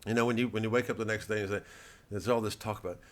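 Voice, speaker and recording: A comedian rambling through a sardonic, profane monologue full of digressions. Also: male voice, fairly low pitch, fairly even intonation, racing.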